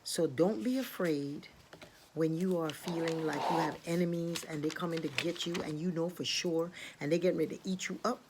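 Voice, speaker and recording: A woman voicing a gentle, protective male spirit in a folk tale, fatherly, tender and reassuring. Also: deep voice, normal speed, noisy background, lightly acted.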